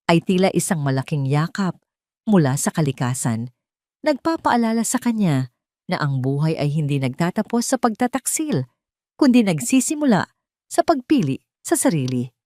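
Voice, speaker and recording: A woman's voice: fairly low pitch, darkish tone, no echo, clean studio sound. A woman with a Filipino accent narrating a scripted, dramatic audio drama story.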